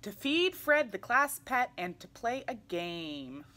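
A young adult woman reading a children's picture book aloud in an animated way, putting questions to young listeners. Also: a little high, slow. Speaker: read-aloud storyteller for children. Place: small indoor room, seated in armchair.

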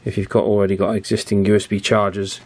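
A man's voice: fairly low pitch, flat delivery, fast, noisy background. A man with a British accent giving a casual, unscripted product review, thinking aloud with chatty, drily understated asides. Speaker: YouTube radio reviewer.

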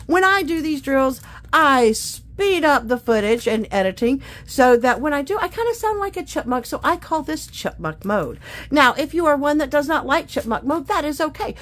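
A woman's voice: sits high, sing-song, normal speed, a bit bright. A woman chatting casually to viewers as a craft vlogger, in a gushing, exclamatory, folksy way. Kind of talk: casual unboxing chat to viewers. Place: indoors at a craft table.

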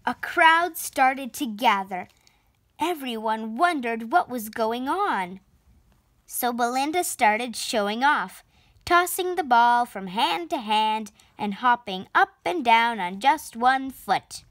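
Young girl doing a storybook read-aloud, high voice, slow and expressive, really dry sound. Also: no echo. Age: child, preteen girl. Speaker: storybook reader and channel host. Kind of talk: children's picture book read-aloud. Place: indoor room at home, on a sofa.

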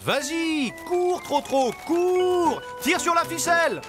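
An encouraging dad donkey in a little kids cartoon, very animated, music behind him. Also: male voice, very high voice, takes it slowly, exaggerated voice. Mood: urging. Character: encouraging, patient father donkey. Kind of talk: preschool cartoon acting. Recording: music under the voice.

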